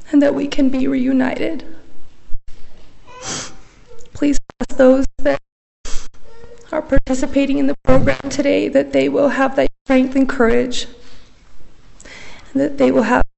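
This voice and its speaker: A woman offering a reverent, measured opening prayer at a funeral service in a chapel. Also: high-pitched, vocal fry, darkish tone, a little hiss or hum, low-quality recording.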